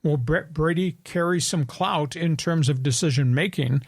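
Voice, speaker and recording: Brief: gravelly older man in his seventies, sports radio host, rambling, opinionated, slightly higher pitch, dry close sound. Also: moderate pace, no room sound. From New York. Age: 70s. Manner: storytelling, opinionated, rambling with restarts. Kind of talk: sports talk radio chat.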